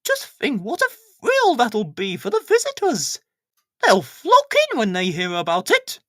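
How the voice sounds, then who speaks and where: A woman voicing an excitable, gushing country village lady in a children's storybook. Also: on the high side, measured pace, no echo, studio quality, voice pushed up. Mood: excited, gushing. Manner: gushing, theatrical.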